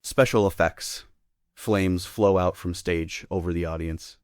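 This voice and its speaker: A man's voice: deep voice, fairly even intonation, a little slow, no room sound, clean studio sound. A man giving scripted narration, reading out the stage directions of a play.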